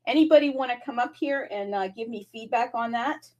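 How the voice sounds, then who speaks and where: A woman's voice: a little high, fairly quick, no room sound, lo-fi sound. A woman hosting a live online audio space, talking casually and rhetorically, often posing questions and answering them herself. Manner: animated, rhetorical, asks and answers own questions. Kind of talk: casual, unscripted community talk.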